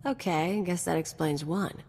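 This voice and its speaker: Brash, sardonic mercenary, echoing reverb. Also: female voice, fairly low pitch, unhurried, darkish tone, a little hiss or hum. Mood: teasing. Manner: sardonic, cocky, slangy. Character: brash, scheming, vindictive female mercenary companion. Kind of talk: video game voice acting. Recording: long reverb, music behind.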